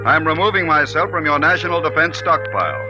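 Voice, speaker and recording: An actor playing a brusque army general who barks orders, in a mid-century radio drama style. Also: male voice, on the high side, lively intonation, quick pace, lo-fi sound, over music, close to an everyday voice. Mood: grave, reading. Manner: barking orders, urgent. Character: brusque, commanding army general in charge of the search.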